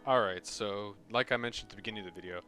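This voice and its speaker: A young American man delivers casual comedic commentary with a sarcastic edge. He swears freely, and soft background music sits under his voice. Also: on the low side, very fast. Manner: sarcastic, profane. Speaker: video creator.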